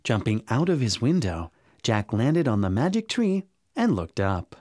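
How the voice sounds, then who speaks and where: A man narrating a scripted children's story in an expressive, dramatic way. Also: mid-range voice, a little slow, noisy background. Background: music.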